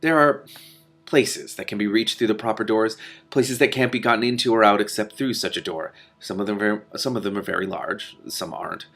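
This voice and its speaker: A man voices a calm storybook king, a father with a wry way of explaining things. He talks quickly in a slightly low register. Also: faint music behind. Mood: measured, wry.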